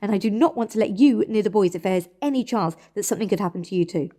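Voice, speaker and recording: British woman, anxious and insistent, warm dark-edged voice, naturalistic radio play female actor. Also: medium pitch, fast talker, a little hiss or hum, close to an everyday voice. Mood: worried, firm. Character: anxious, insistent woman questioning her relatives in hospital. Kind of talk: naturalistic audio drama acting.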